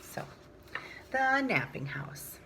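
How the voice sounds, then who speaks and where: A woman teacher reading a picture book aloud to young children, with sing-song, drawn-out phrasing, hushed moments and explanatory asides. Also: high-pitched, sing-song, creaky, in a whisper.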